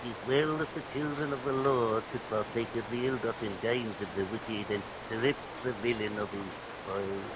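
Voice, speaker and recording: A man's voice: medium pitch, steady tone, normal speed, natural voice. A man playing a sanctimonious, scheming parson in a vintage radio melodrama, pompous and preachy. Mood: pious scheming. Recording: vintage radio recording, hissy rain noise.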